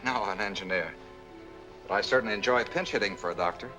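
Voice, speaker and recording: Male actor as earnest lovestruck man, American accent, deep-leaning forceful voice, sentimental, old 1940s film soundtrack with faint music. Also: fast, natural voice. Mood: gentle, courteous. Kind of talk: 1940s film noir acting.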